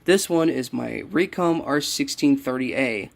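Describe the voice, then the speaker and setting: A man's voice: mid-range voice, unhurried, gravelly. A young man giving a casual show-and-tell of his collection, rambling and correcting himself as he goes. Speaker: radio collector showing a collection. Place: small cluttered room indoors.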